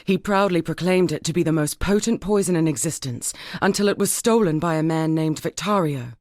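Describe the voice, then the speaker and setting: Fantasy game NPC: actress as earnest female turncoat soldier, embittered, formal storyteller's delivery, slightly low pitch, very dry studio sound. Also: a little fast, a little mellow, no echo, natural voice. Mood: grave, wry. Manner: articulate, grave, formal storytelling. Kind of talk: dramatic fantasy game dialogue.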